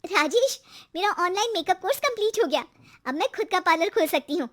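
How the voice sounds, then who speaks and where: A woman voicing a chatty, excitable young married daughter-in-law in a cartoon story, animated and quick to complain. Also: very high voice. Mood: excited.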